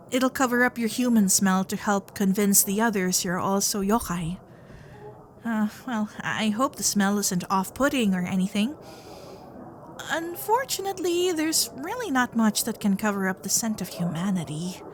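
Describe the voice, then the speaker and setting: Unhurried, shy fox-spirit girlfriend, acted by a woman. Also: medium pitch, a little hiss or hum, close to an everyday voice. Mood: attentive, hesitant, then bashful, teasing. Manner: hesitant, flustered, caring, softly halting. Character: shy, sweet, protective young female kitsune girlfriend. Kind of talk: ASMR roleplay acting.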